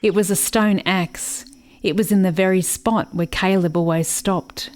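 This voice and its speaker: An actress in a radio play playing a grieving, watchful country sister, narrating in a reflective, measured way. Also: female voice, on the low side, fairly even intonation, a little mellow, natural voice. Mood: wistful.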